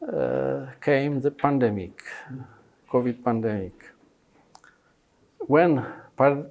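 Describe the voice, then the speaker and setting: A man in his fifties whose first language is Polish, presenting his book with many pauses, fillers and restarts in non-native phrasing. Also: medium pitch, warm tone. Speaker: consultant pediatrician.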